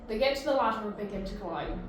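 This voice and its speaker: A woman's voice: mid-range voice, expressive, quick pace, very echoey, a little hiss or hum, poor audio. A woman reading narration and stage directions at a table read.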